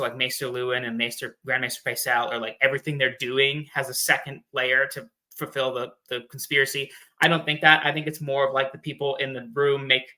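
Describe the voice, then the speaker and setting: A man's voice: mid-range voice, sharp tone. A man in a casual discussion, explaining fan theories in a conversational, loosely phrased way.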